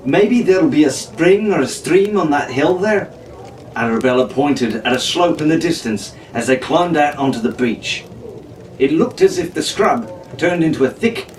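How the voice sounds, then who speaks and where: A man reading a storybook adventure aloud as an audiobook narrator. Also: medium pitch. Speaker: audiobook reader. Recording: roomy, faintly noisy, distant microphone.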